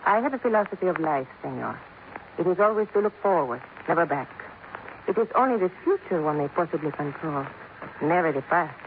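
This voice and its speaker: A woman's voice: low voice, animated, brisk, narrow, tinny audio. A woman playing a cool, sly young widow in a 1950s radio drama, poised and teasing, with a Spanish accent. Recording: thin 1950s radio recording, slight noise.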